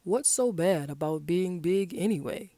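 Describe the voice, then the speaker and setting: A woman's voice: fairly low pitch, lively intonation, unhurried, warm tone, dry recording. A woman voicing patient, easygoing grown-up animals in a picture-book read-aloud, coaxing and matter-of-fact. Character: patient grown-up frog parent and easygoing pig.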